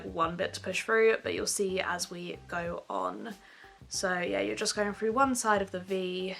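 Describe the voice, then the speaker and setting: A woman's voice: mid-range voice, sharp tone. A young woman giving a casual, chatty step-by-step crochet tutorial at home, with frequent ums.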